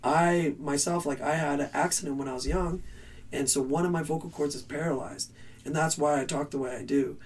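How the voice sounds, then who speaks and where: Raspy male band vocalist, earnest rambling talker, slightly high voice, off-mic. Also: steady tone, brisk, far from the mic, rough recording. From Canada. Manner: rambling, earnest advice with restarts. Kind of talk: casual interview answers.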